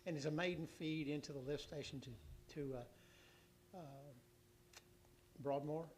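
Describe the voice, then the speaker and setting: A hoarse man giving a city council utility report, rambling and halting with many restarts and fillers. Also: a little high, fairly even intonation, darkish tone, a little hiss or hum.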